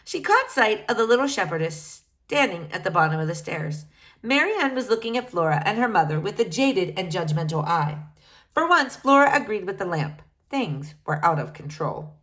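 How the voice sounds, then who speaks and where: A woman reads a children's novel aloud in a middle-pitched voice. Her intonation swings widely from line to line, and she lifts or drops her voice to give each character their own sound. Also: very animated, normal speed, a bit bright. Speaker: reader.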